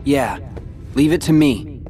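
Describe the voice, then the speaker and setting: A man's voice: mid-range voice, lively intonation, takes it slowly, warm tone. A serious brooding teen boy hero in a video game, determined, music playing behind. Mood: concerned, resolute. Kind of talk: animated game voice acting.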